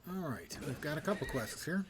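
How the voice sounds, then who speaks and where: A man's voice: on the high side, expressive, brisk, noisy background. A man live-streaming a video game, commenting casually and reading quests aloud with wry, self-deprecating, wordy humour.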